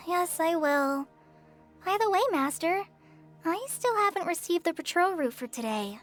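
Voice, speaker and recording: A young girl, an obedient apprentice ranger in a video game. The voice is very high, meek and deferential, with faint music behind it. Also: animated, measured pace. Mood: dejected, hesitant.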